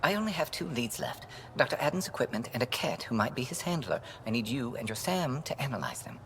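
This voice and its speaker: Retired alien major, video game, gravelly, lively intonation, dry irony, shrewd investigator. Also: male voice, mid-range voice, fast, slight background noise. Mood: frank, intent. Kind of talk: video game character dialogue.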